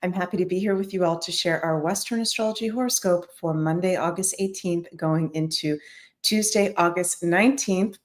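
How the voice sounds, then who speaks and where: A woman's voice: lowish voice, normal speed. A woman hosting a daily astrology show, chatting casually to her viewers as "you guys", her voice a little scratchy today. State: slightly scratchy voice.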